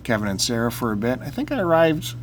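A man's voice: medium pitch, very animated, fast, a little hiss or hum. A man co-hosting a casual podcast, teasing his friend, laughing easily and pressing him with questions. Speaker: podcast co-host. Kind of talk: casual banter.

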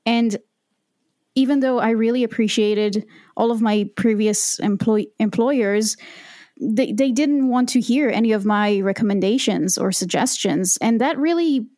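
A woman's voice: fairly high pitch, a little slow, dark tone, no room sound, clean studio sound. A woman, a podcast guest telling her story in a reflective, candid way. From Israel. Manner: reflective and candid, with frequent ums and restarts. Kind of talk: conversational storytelling.

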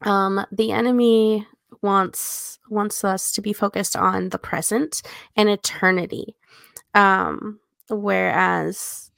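A woman's voice: medium pitch, fairly even intonation, slow pace, creaky, fairly bright tone, no room sound. A woman co-hosting a podcast, explaining her thoughts casually and checking in with small tag questions. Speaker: podcast co-host.

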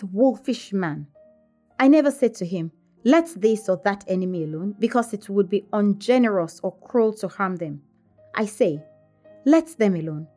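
A woman's voice: mid-range voice, dark tone, lightly acted. Audiobook role: haughty young wife, domineering and scornful, emphatic delivery, performed by a female narrator. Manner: emphatic, imperious. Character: proud, scornful young married gentlewoman, vehement and domineering.

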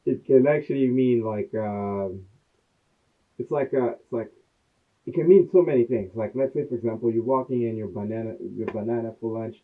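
A man's voice: mid-range voice, normal speed. Agreeable man co-host, tinny sound. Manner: frequent short agreements, explains with everyday examples. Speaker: podcast co-host. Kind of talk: casual podcast chat.

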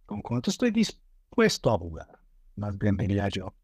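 A man co-hosting a talk show, speaking in a relaxed way and often chiming in with short words of agreement. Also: on the low side, slightly rough, no echo. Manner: relaxed, quick interjections of agreement. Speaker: co-host.

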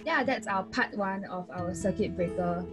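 A young Singaporean woman co-hosting a podcast, her mid-range voice climbing as she tells stories between laughs. She wraps up episodes with easy, friendly sign-offs. Soft music plays faintly behind her. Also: medium pitch, normal speed. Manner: animated storyteller, laughs often. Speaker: podcast co-host. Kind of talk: casual chat.

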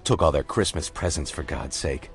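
A man's voice: rumbling low, moderate pace. A man voicing a weary middle-aged police commissioner who speaks earnestly and insistently. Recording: faint music behind.

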